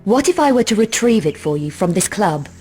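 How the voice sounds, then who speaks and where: A woman's voice: mid-range voice, very animated, brisk, dark tone, close to an everyday voice. An adventuress voiced by a woman with a mid-range voice, self-assured and sardonic. She delivers lines with dry, cool menace, as in a video game cutscene, over faint music. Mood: cool, pushy.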